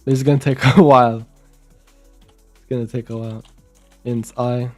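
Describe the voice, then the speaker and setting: A man's voice: mid-range voice, steady tone, moderate pace, darkish tone, faint music behind. A young man from Romania, casually commenting while live coding and muttering code aloud as he types, with chatty asides. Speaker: programming streamer.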